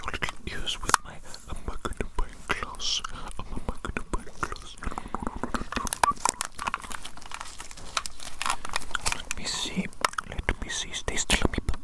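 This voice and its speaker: A performer plays an evil doctor in an ASMR roleplay, speaking entirely in a breathy whisper. The doctor fusses over a tiny patient with theatrical, formal wording, repeating phrases with fond relish. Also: unhurried, hardly any pauses, close to an everyday voice. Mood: intent, apologetic.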